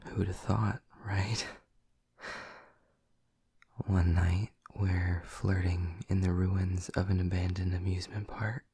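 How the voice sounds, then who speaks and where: Male actor as a doting vampire boyfriend: low, breathy, slow whispers, teasing and intimate. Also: flat delivery, warm tone, no echo, clean studio sound, heavily acted. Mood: tender. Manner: teasing and affectionate. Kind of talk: ASMR audio roleplay.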